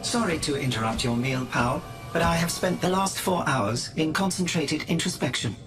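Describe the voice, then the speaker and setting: An actor in a radio drama playing a self-assured robot prophet, coolly logical and devout, speaking in a formal, measured, preaching way. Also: male voice, fairly high pitch, expressive, over music, natural voice.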